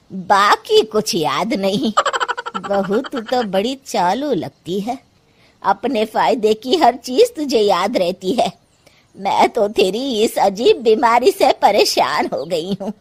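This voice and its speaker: Woman doing a tired sharp-tongued saas in a cartoon, slow and drawn out, pitch going up and down a lot, scolding. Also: sing-song, breathy edge, full character voice. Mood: exasperated. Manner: scolding, exasperated, homely. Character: harried older mother-in-law, fed up and sharp-tongued. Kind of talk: Hindi cartoon story voice acting.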